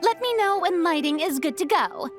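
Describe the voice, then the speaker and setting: A woman's voice: extremely high pitch, animated, moderate pace, soft background music. A woman playing an exuberant, theatrical young film director in an anime game dub, encouraging and commanding. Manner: crisp commanding calls, effusive praise. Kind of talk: English-dubbed anime game acting.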